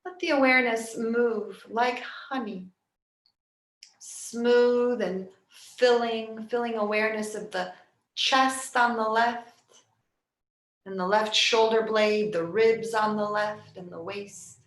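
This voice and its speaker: Soft soothing lady doing yoga nidra relaxation, really slow w long pauses, echoey room. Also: on the high side, fairly even intonation. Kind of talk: guided relaxation meditation. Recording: roomy, echoing sound.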